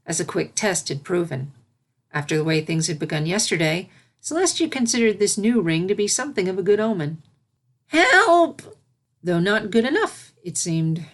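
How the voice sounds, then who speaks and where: A woman reading fan fiction aloud as scripted storybook narration. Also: on the low side.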